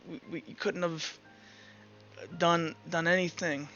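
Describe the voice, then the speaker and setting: A man playing a shaken, tender, defeated father who stammers gently. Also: fairly high pitch, flat delivery, slow pace. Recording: dull lo-fi audio under music.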